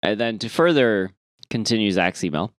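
A man co-hosting a podcast in casual comic chat, sometimes sweary and halting as he thinks aloud. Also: fairly low pitch, lively intonation, no echo, studio quality. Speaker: podcast co-host. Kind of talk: casual comic chat, reading listener emails.

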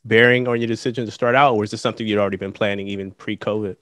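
A man hosting an interview, asking questions in a measured way with hesitations. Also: fairly low pitch, steady tone, fairly bright tone, dry recording. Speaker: interviewer, host.

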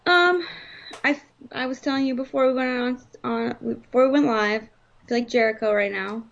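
A hoarse woman chatting on a live stream, her voice pitched a little high and full of gravelly fry. She rambles and stumbles over fillers, and the audio sounds dull and muffled. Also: moderate pace, a bit bright. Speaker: podcast co-host. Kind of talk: casual live-stream chat.

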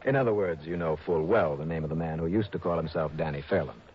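A man playing a deep-voiced wry investigator. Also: quick pace, lightly acted. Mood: dry. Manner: dry, clipped, wisecracking. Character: wry hardboiled male insurance investigator. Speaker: narrator and lead. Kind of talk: 1960s radio drama acting. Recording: dull old radio broadcast with music.

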